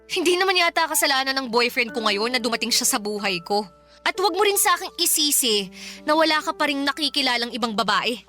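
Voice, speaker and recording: Radio drama ex-girlfriend: young, cold, fed up, high and forceful, sarcastic. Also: expressive, fast, soft background music. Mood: exasperated. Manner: scolding, blunt, sarcastic. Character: Yeri, cold, exasperated young woman confronting her ex. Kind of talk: Filipino radio drama acting.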